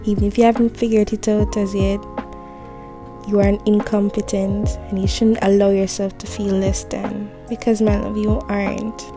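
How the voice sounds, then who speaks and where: A woman delivers a gentle, reassuring, intimate spoken monologue, speaking directly to the listener like a heartfelt voicemail. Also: mid-range voice, little pitch movement, normal speed, over music.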